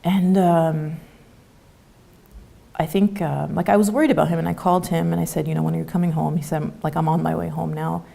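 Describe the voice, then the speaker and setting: Low-voiced woman with gravelly fry. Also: steady tone. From Canada. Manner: soft-spoken, halting, many fillers. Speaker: interview guest. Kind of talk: conversational interview.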